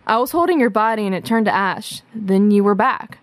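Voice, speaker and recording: A woman's voice: medium pitch, expressive, a little fast, no room sound, natural voice. A woman playing a blunt, commanding schoolgirl superhero sister in a radio drama. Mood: incredulous.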